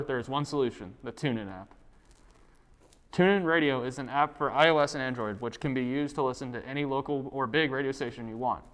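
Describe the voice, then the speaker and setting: A teenage boy reads a scripted sports segment for a school TV show. He moves quickly through the copy in an even, mid-pitched voice. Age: teens. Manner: brisk, read from script. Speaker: student sports anchor. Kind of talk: scripted news reading. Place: school TV studio.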